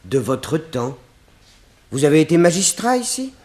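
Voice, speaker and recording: A man's voice: on the high side, big pitch swings, measured pace, darkish tone. An actor playing a fussy male courthouse clerk, offhand and muttering, in a 1960s French radio play. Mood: helpful, muttering.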